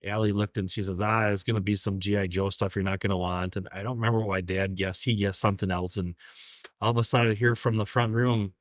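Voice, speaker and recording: A man who runs an antique shop, co-hosting a podcast and telling a story in a relaxed, halting way. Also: on the low side, no room sound. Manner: relaxed, hesitant, many ums. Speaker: podcast co-host, antique dealer. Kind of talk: casual storytelling chat.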